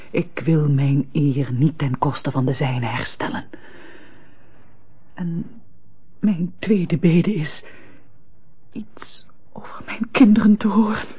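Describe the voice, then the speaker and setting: An actress in a radio drama playing a remorseful, proud and tormented estranged wife who speaks formally and theatrically. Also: female voice, fairly low pitch, breathy edge. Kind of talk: classic European radio drama acting. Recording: dull lo-fi old radio recording.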